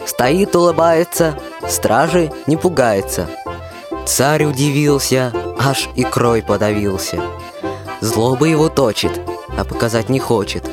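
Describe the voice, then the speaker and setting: Young narrator telling a rhymed folk tale, middling pitch, accordion music playing behind. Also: a little slow. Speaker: storyteller in a radio play.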